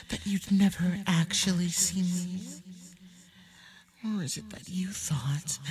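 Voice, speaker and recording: A woman voicing a menacing, sadistic male fox spirit in an ASMR roleplay, taunting prey in a breathy, half-whispered manner. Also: mid-range voice, darkish tone, close to an everyday voice. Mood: mocking. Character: menacing femboy kitsune, sadistic forest predator mocking prey.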